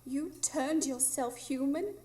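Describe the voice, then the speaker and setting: A resolute young heroine for live radio drama. She has a very high voice and speaks in an earnest, articulate way. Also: slow, warm tone. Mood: questioning.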